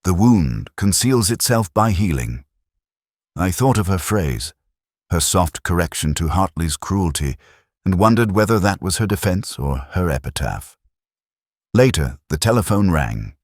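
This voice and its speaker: A man playing a reflective, melancholy middle-aged detective, narrating his case in measured, solemn literary prose. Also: sits low, big pitch swings, dry recording, studio quality, full character voice. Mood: somber, reflective. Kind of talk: literary first-person scripted narration.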